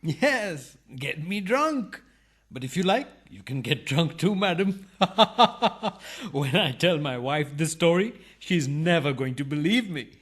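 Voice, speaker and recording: A man plays a cheeky burglar in a radio farce. His voice sits fairly high and swings widely as he protests with wounded politeness. He takes everything with comic literalness and easily turns indignant. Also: lively intonation, takes it slowly, a bit bright, slightly rough, exaggerated voice. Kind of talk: farcical radio play acting.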